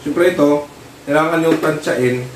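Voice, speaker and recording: A Filipino man giving a casual hands-on tutorial, talking informally in short, halting phrases as he works. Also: fairly high pitch. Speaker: vlogger demonstrating product installation. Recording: roomy, distant mic with clear noise.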